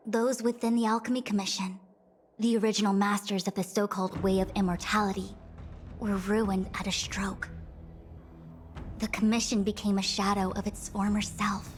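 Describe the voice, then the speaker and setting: A woman voicing a dignified, authoritative young diviner and acting general in a video game, speaking formally and with measured eloquence. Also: medium pitch, slight background noise, close to an everyday voice. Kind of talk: video game character dialogue.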